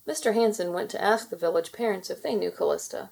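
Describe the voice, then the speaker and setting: A woman reading a children's storybook aloud in expressive narration for kids. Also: medium pitch, fast, vocal fry.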